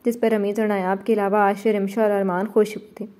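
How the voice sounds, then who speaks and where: A woman narrating a romantic novel in a steady, even audiobook reading. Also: medium pitch, brisk.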